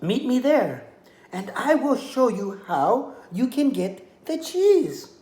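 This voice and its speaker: An older man voicing a skinny, clever little female lamb in a high, bleating sing-song. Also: very animated, slow pace, a little mellow, heavily acted. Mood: sly, pleading. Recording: roomy echoey room.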